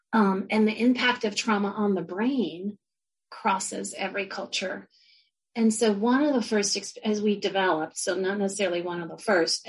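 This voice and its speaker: A woman's voice: mid-range voice, slightly rough, rough recording. A woman social worker and university educator with family from Argentina, answering interview questions earnestly and reflectively. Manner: earnest, reflective, with frequent fillers and restarts.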